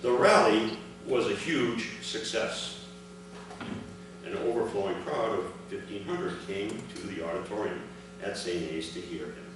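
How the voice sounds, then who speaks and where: A man reading a prepared first-person account aloud to an audience, in measured, separated phrases. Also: lowish voice. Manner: measured public delivery in short, separated phrases. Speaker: reader of his father's written memoir at a commemoration. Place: large echoey room at an event, recorded from afar. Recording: echoey room, distant microphone, clear background noise.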